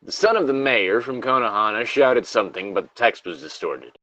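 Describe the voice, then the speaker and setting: A man's voice: on the low side, animated, fairly quick, pushed and bright, no echo, muffled recording. A man reading horror stories as a co-host, with casual, sardonic, sweary asides. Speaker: co-host reading video game creepypastas.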